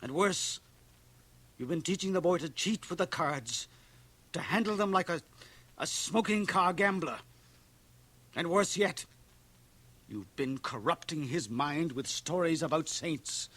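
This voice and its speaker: A puritanical minister and father in a radio play, solemn and severe. He has a fairly high man's voice and speaks with pious righteousness, as if preaching. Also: expressive, measured pace, long pauses, a bit bright. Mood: accusing, righteous. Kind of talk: radio drama acting. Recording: 1980 radio drama from home cassette transfer.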